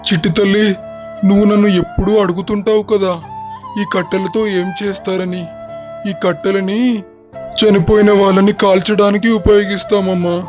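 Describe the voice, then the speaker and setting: A man playing a gentle, sorrowful village father who explains things tenderly and patiently, in an animated moral story. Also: sits high, moderate pace, lo-fi sound, music in the background, close to an everyday voice. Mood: patient explaining. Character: gentle, sorrowful, doting village father and cremation-ground keeper.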